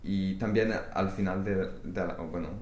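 A young man whose first language is English, answering interview questions with many pauses, fillers and restarts. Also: deep voice, darkish tone. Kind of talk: research interview. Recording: echoey room, faint hiss.

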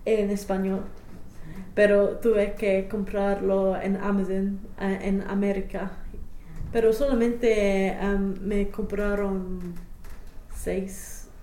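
A woman's voice: mid-range voice, level tone, slow pace, warm tone, reverberant, a little hiss or hum. A young woman, a native English speaker, answering interview questions as a language learner, hesitant with many fillers and restarts. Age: young adult.

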